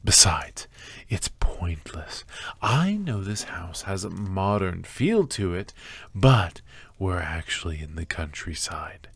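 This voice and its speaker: A man playing an obsessive, menacingly tender captor in an ASMR roleplay, speaking softly and intimately, often slipping into whispers. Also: deep voice, big pitch swings, slightly rough, dry recording, full character voice. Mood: coaxing, romantic. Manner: soft, intimate, drawn-out, often dropping to whispers.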